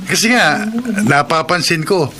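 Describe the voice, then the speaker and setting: A man's voice: fairly high pitch, measured pace, natural voice. An actor playing a worldly security guard in a radio drama, teasing and goading his buddy. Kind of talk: radio drama acting in Tagalog.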